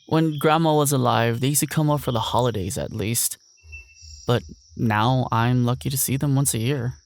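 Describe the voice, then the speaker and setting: A man's voice: medium pitch, moderate pace, a little hiss or hum, natural voice. A young man playing an earnest, lonely ranch heir, speaking a weary, reflective monologue in an audio drama. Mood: wistful.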